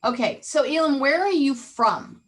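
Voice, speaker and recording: A woman's voice: sits high, lively intonation, normal speed, a bit bright. Chatty woman teaching over a video call. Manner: chatty, teasing, asks the group questions. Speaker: real estate trainer. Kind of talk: interactive online training session.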